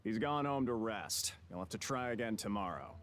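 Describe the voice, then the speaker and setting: An actor playing a dismissive, smug clinic gatekeeper in a video game, casual and condescending. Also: male voice, lowish voice, animated, moderate pace, loud music behind the voice, lightly acted.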